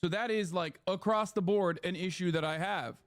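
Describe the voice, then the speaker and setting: A man's voice: high voice, moderate pace, no room sound, studio quality. A man, a streamer giving blunt, profane, mocking reaction commentary. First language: Turkish.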